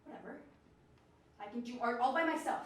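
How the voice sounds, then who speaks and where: Woman acting stubborn, high-voiced bossy inner logic, echoey stage. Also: a little fast, natural voice. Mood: frustrated. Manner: defensive, insistent. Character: stubborn, bossy logical side of a young artist woman's mind. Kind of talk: amateur one-act stage play acting. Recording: echoey stage, distant mic, noisy.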